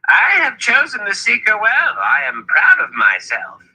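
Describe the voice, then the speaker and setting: A man's voice: sits high, wildly swooping pitch, unhurried, pushed and bright, breathy edge, pitched above the performer's own voice, exaggerated voice, poor audio. A man voicing an old wizard mentor in an audiobook, grave and fatherly, admonishing with emphasis and affection. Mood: proud.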